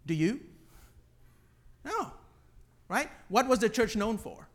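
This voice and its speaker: Guy from malaysia teaching a church class, pretty high voice, stumbles and repeats words, says right? a lot. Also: very animated. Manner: halting, often repeats words and tags phrases with "right?". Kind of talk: informal teaching with questions from the group.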